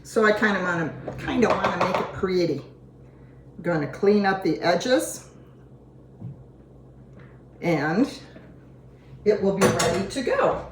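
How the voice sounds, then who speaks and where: A hoarse woman talks slowly and easily while demonstrating a recipe, chatting in a homey way as she goes. Her voice bounces around an echoey kitchen. Dishes and pots clatter behind her. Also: medium pitch, animated, noisy background. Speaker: cooking show host. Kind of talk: casual cooking demonstration. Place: home kitchen. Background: dishes and utensils clinking.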